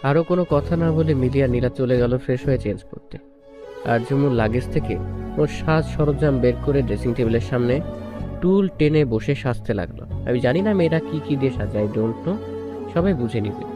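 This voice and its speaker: A man's voice: medium pitch, normal speed. A man reading a scripted romantic story as a narrator. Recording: music bed under the voice. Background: prominent instrumental music.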